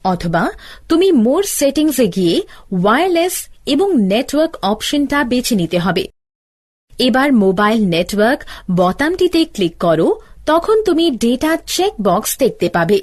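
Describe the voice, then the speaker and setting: A woman's voice: fairly high pitch, very animated, normal speed, a little mellow. A woman playing an older-sister teacher, giving step-by-step instructions in a scripted cartoon tutorial. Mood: instructive. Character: older-sister teacher explaining gadgets to a child.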